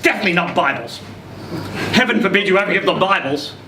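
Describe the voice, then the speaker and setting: A man reading a short story aloud in a theatrical, expressive way at a live event. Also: high-pitched, fast talker. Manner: theatrical, expressive delivery with acted quotes. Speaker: reader at a live literary event. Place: indoor social venue, live event. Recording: roomy, distant event recording with noisy hum.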